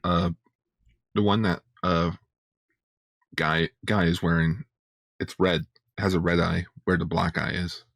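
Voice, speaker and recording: A man's voice: deep voice, flat delivery, unhurried, gravelly, long pauses, a bit bright, no echo. A man co-hosting a podcast in casual chat, often repeating words as he gets going. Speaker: podcast co-host.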